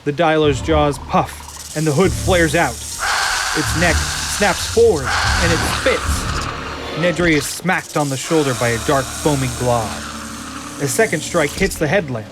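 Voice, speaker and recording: A man reading dramatic scripted narration for an audio drama with suspenseful, deliberate phrasing over music. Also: a little high. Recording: loud cinematic music bed.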